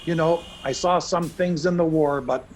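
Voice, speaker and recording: A man's voice: on the high side, normal speed, reverberant, slight background noise, close to an everyday voice, rough recording. A man acting an earnest, urgent Scotland Yard special agent in a live radio play. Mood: shaken.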